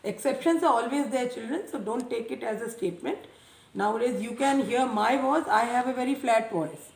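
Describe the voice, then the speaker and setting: Echoey woman teacher explaining slowly. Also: on the high side, vocal fry. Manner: slow, deliberate, repeats key terms; speaks loudly for clarity. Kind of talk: classroom science lesson. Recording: echoey room, voice a bit far from mic, faint noise.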